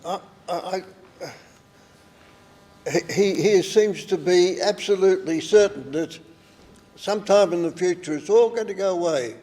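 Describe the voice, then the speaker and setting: A man's voice: sits high, unhurried, long pauses, mellow. A man in the audience asking a question, hesitant and stumbling over his words. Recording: roving mic in a hall, faint room noise.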